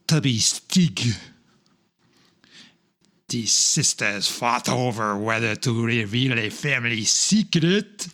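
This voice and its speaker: Guy narrating an old radio style drama, super slow, halting dramatic phrases, medium pitch. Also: a bit bright. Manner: deliberate, halting delivery in short dramatic phrases.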